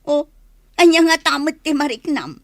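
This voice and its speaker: An actress with an Ilocano accent playing a disapproving, God-fearing village wife in a comedy radio drama. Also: female voice, sits high, expressive, moderate pace, no room sound, clean studio sound. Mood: scolding.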